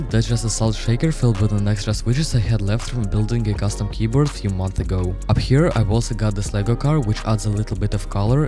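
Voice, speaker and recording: Fast-talking Ukrainian-accented teen boy. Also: on the low side. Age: teens. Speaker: vlogger. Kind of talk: scripted room tour voice-over.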